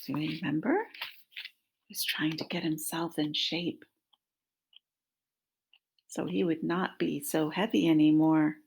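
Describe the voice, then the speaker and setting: A woman in her fifties reads a children's story aloud at a slow, measured pace. Her voice has some creak and huskiness, and she keeps turning to her young listeners with questions. It is picked up by a laptop microphone in a small room at home. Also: low-pitched, fairly even intonation, a little mellow, low-quality recording. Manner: prompting, question-asking, addressing young children. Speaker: teacher reading a picture book aloud. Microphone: laptop microphone at arm's length.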